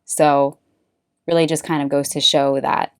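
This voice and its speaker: A woman from California who hosts a face yoga podcast, telling her story in a relaxed, chatty way with lots of fillers. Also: low-pitched, a little slow, clean tone, no room sound, studio quality. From Bay Area, California. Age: late 20s or older. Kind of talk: casual personal storytelling monologue.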